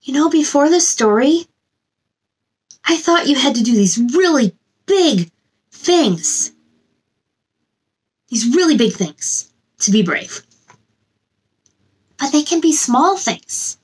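A woman in her thirties speaking to young children as a story time host, with an expressive, simple delivery. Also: high-pitched, slow, long pauses. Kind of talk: children's read-aloud and chat to young viewers.

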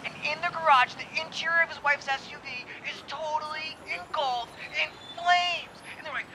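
High-voiced actor as excitable male stoner caller for audio drama; stammering, slangy, clear background noise. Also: normal speed. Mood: eager, rambling. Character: excitable rambling stoner guy phoning a radio show. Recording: noisy call with street ambience behind.

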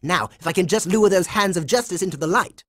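A man's voice: sits high, a little fast. A man voicing a bossy, heroic boy secret agent in a cartoon video game, dramatic and emphatic with a smug confidence. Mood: determined, musing.

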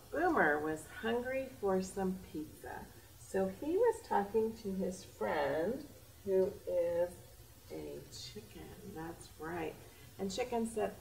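American woman storyteller, slightly gravelly, unhurried. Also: mid-range voice. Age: middle-aged. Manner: unhurried, theatrical, simple explanatory phrasing. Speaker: library storytime host. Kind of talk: expressive storybook reading for young children. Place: library children's area, indoors. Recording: distant camera mic, faint room noise.

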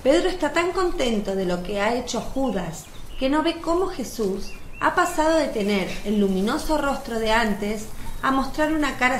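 High-voiced woman narrator, roomy. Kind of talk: scripted dramatic narration for religious radio play. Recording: roomy sound, faint background noise.

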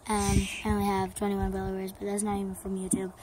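A young girl chatting in a casual camping vlog, giggly and excited, recorded on a phone outdoors. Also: medium pitch, quick pace, heavy background noise. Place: campground outdoors. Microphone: handheld phone.

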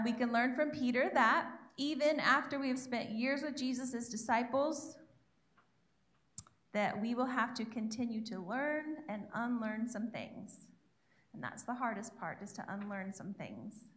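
A woman's voice: a little high, fairly bright tone. A young woman giving a sermon from her notes on a church stage, chatty and self-deprecating, speaking into a handheld mic. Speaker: guest preacher. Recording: echoey church room.

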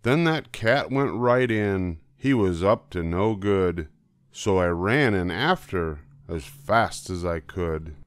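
Slow, animated older man reading stories. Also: deep voice, dry recording, studio quality. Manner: animated, drawn-out emphasis. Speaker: storybook reader. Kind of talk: expressive children's storybook reading.

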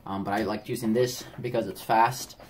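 A man's voice: on the low side, fairly even intonation, moderate pace. Plain-spoken man with noisy background. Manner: informal, plain-spoken, explaining step by step. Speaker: presenter of DIY how-to videos. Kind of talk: casual hands-on tutorial narration. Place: around a backyard tub and a workshop. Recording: handheld camera with background noise.

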